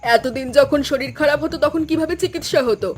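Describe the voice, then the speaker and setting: A woman acting a high-voiced, domineering mother-in-law. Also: brisk, natural voice. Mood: scornful, refusing. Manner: scolding, commanding. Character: harsh, stingy, domineering older mother-in-law. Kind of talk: Bengali cartoon voice acting.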